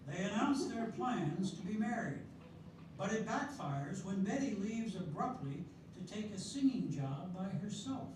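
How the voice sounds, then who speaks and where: An older man narrating a readers theatre show in a steady, measured way, recorded from far off in an echoey hall. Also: high-pitched, noisy background. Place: senior center hall.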